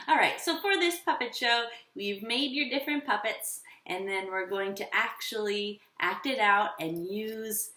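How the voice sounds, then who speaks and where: A woman teaching children, instructive and encouraging, posing questions and pausing for answers. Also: medium pitch, fairly bright tone. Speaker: elementary school teacher. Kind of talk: children's lesson with questions and pauses. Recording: roomy echo, slightly distant mic.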